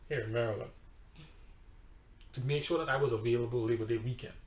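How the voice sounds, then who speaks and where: A man's voice: mid-range voice, steady tone, brisk, darkish tone. A pastor with a Trinidadian accent telling a personal testimony in conversation, emphatic and storytelling, sometimes repeating his words. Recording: roomy echo.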